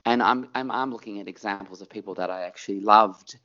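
A man's voice: on the low side, fairly quick, pushed and bright, muffled recording. A middle-aged Australian man, a performer, talking reflectively in an interview and stretching words for emphasis.

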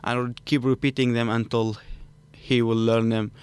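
A man's voice: mid-range voice, level tone, moderate pace, clear, resonant tone. A man who is a native Arabic speaker, with an Arabic accent, answering conversationally as a student guest in a studio interview. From Arabic-speaking country. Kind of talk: conversational storytelling in non-native English.

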